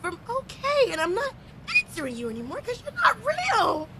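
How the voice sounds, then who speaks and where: A high, very animated woman's voice plays someone distraught and stubborn. She stammers and circles back on her words, lurching between loud and hushed. Clear noise sits behind her. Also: normal speed, full character voice. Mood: frantic, tearful. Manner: stammering, repetitive, swinging between shouts and whispers. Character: distraught unhinged woman, frightened, stubborn, arguing with a voice. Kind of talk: audio horror drama acting. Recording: clearly noisy background.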